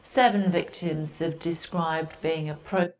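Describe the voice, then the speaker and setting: A woman's voice: low-pitched, fairly even intonation, takes it slowly, a little mellow, slight background noise, rough recording. A woman presenting television news in a formal newsreader style. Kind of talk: scripted news reading.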